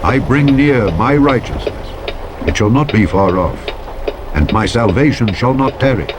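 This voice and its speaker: A man narrating scripture from a script in a solemn, declamatory, measured way, phrase by phrase. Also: medium pitch, lively intonation. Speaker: audiobook narrator. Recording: loud vehicle noise over voice. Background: loud truck driving sounds from a video game.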